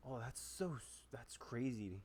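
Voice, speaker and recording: A man's voice: mid-range voice, animated, measured pace, darkish tone. Man rambling over gameplay. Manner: rambling, trails off and restarts a lot. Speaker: gamer commentating a playthrough. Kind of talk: casual gameplay commentary.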